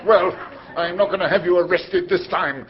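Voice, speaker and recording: A man playing a pompous, irascible older English magistrate who blusters and shouts. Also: high voice, normal speed, lightly acted. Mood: stern. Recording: dull lo-fi recording.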